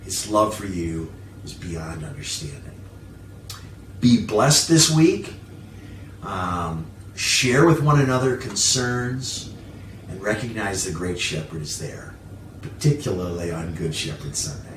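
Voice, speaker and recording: A man preaching a sermon as a pastor, emphatic, with repeated phrases, rhetorical questions and frequent pauses. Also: low-pitched, expressive, fairly bright tone. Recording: roomy echo, distant mic, faint noise.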